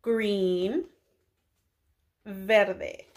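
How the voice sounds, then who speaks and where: A young woman preschool teacher addressing little children in a deliberate, clearly enunciated way, often posing questions. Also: mid-range voice, steady tone. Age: young adult. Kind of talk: children's lesson, addressing kids, English with some Spanish words.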